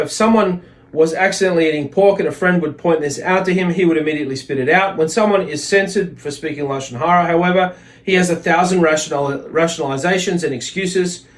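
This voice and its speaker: A man with a slightly high voice teaches a religious lesson. He moves between reading a text and explaining it in his own words. His explanations are halting, with words doubled back on and restated.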